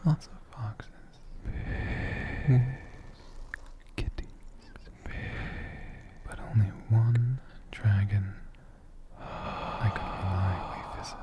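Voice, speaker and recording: A man in a dragon persona, whispering softly and rambling fondly as he answers viewer questions for an ASMR video. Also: lowish voice, extremely slow, long pauses, muffled recording. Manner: soft-spoken, rambling, fond.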